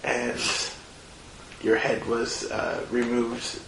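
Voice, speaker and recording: A man running a tabletop roleplaying game, narrating in a halting way with frequent filler pauses. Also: mid-range voice, completely flat delivery, echoey room, noisy background. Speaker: game master.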